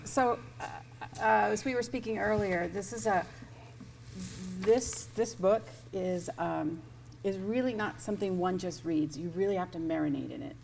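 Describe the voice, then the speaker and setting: Female interviewer, low gravelly voice, chatty with lots of ums, faint hiss under it. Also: normal speed. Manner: chatty, hesitant, many fillers. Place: event space with an audience.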